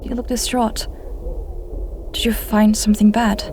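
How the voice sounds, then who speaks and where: A woman playing an earnest, spirited young adventurer in a fantasy audio drama, direct and expressive. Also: mid-range voice, unhurried, a little hiss or hum, close to an everyday voice. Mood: concerned.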